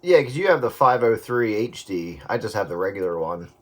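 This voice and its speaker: A man's voice: fairly low pitch, very animated, fairly quick. A man in casual CB radio chat, talking in a folksy way with radio jargon over very noisy, clicking radio audio.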